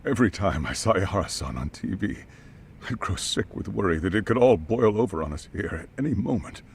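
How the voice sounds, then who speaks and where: Gravelly, anxious male school chairman. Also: on the low side, lively intonation, a little fast, slight background noise. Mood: uneasy, defensive. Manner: hesitant, formal. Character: anxious, apologetic male school chairman, middle-aged or older. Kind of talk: video game cutscene acting, English dub.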